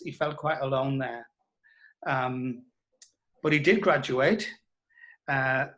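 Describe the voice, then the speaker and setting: A middle-aged man giving a reflective interview, telling anecdotes in a hesitant, measured way. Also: on the high side, a bit bright. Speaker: writer interviewed about audio drama scripts.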